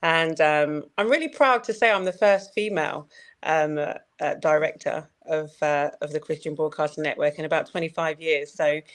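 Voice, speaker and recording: A woman guest in a casual live chat, talking in a lively, easygoing way and laughing often. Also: low voice, moderate pace. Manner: laughing, lively, uses "man". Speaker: guest, creative director.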